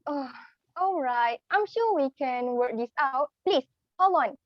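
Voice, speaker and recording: A woman's voice: sits high, lively intonation, unhurried, no echo, dull audio. A woman playing a kind, humble mother in a dramatised reading, polite, earnest and conciliatory as she bargains. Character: nice, humble mother pleading for her lovestruck son.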